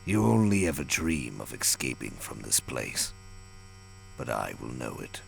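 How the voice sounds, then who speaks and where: A man voicing a sinister, coldly affectionate ship AI in an audio story, hushed and menacing. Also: a bass voice, very animated, unhurried, gravelly, a little hiss or hum, pitched below the performer's own voice, heavily acted, low-quality recording. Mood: quiet menace.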